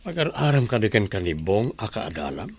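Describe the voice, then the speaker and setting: A man with a Filipino accent playing a kindly, concerned middle-aged village father in a radio drama, with a husky voice. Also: fairly low pitch, sing-song, a little fast, full character voice. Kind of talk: Ilocano radio drama acting.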